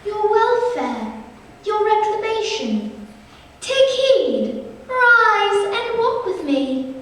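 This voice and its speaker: An ageless, childlike spirit for a theatrical radio play. The voice is extremely high and slow, with gentle, solemn formality. It rings through a very echoey hall. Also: female voice, big pitch swings, full character voice. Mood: solemn, commanding. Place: theatre stage. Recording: echoey theatre hall, distant mic, faint noise.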